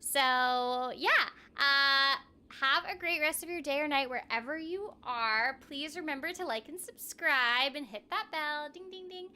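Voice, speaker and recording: Really high girly woman voice, slow playful gamer stream chatter, music in back. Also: sharp tone. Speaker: game livestream host, voice actor. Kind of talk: casual livestream chat while playing a game. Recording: game music behind the voice. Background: video game music.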